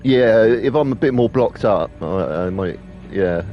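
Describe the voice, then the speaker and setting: A man's voice: on the low side, expressive, unhurried, lo-fi sound. A man giving casual, sweary, jokey commentary while playing a video game. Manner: sweary, jokey, mocking, laughs often. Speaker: gaming commentator. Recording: voice over game audio with faint music.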